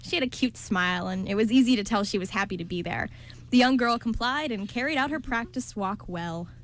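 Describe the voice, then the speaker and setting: A woman's voice: mid-range voice, animated, fairly quick. A woman narrating a scripted story as part of a radio play.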